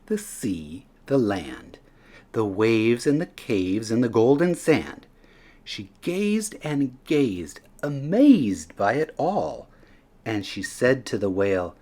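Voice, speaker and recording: A man narrates a children's rhyming picture book. His delivery is dramatic and unhurried, with pitch that moves around in a lively way from phrase to phrase. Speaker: storyteller reading a children's picture book aloud.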